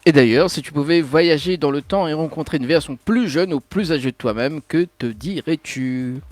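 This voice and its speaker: A man co-hosting a studio talk show, asking a guest quick quiz-style questions in a relaxed, slightly hesitant way. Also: on the high side, faint music behind. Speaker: show co-host. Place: pop-up studio.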